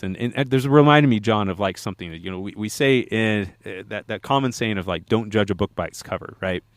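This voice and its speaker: Man, podcast co-host, casual rambling chat, low pitch, dry sound. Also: dry recording. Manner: rambling, restarts with many fillers.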